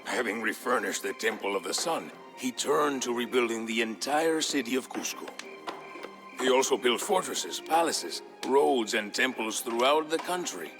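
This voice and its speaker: An actor playing a male narrator who recounts an old legend in a measured, scripted storybook manner for a video game. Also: mid-range voice, expressive, music in the background, close to an everyday voice. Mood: solemn storytelling.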